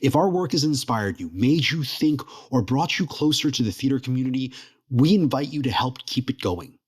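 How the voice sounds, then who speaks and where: Male announcer, mid-pitched and lively, scripted promotional read for a theater company. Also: normal speed, slightly rough.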